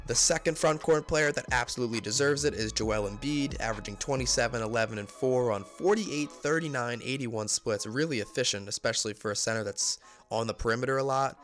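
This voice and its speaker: A man's voice: mid-range voice, fairly bright tone. A young man with a congested, slightly nasal voice giving casual sports commentary, reeling off player statistics. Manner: stat-heavy, rapid listing of numbers. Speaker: basketball video creator.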